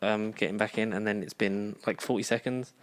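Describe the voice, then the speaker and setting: A man's voice: on the low side, fairly even intonation, fairly quick. A man co-hosting a podcast, chatting casually and rambling with lots of fillers. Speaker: podcast co-host. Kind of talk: casual chat.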